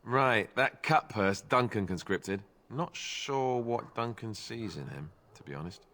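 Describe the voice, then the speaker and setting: A man's voice: fairly low pitch, expressive, a little slow, a bit bright. An actor playing a young male warrior in a fantasy video game, earnest with a dry, self-deprecating wit. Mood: dry, wry.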